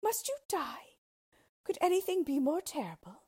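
A woman's voice: extremely high pitch, moderate pace, pitched above the performer's own voice. A woman voicing a distraught young fairy-tale princess, proud and tender, in a wailing, melodramatic manner. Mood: despairing.

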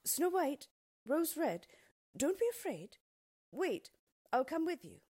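A kindly enchanted bear from a storybook, voiced by a woman. He calls out reassuringly with his voice lifted high. The sound is dry, with no room around it. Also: sing-song, slow pace, mellow, no room sound, exaggerated voice. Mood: calling, reassuring. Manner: calling out, reassuring. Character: kindly male bear, an enchanted prince in a fairy tale. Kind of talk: storybook character voice.

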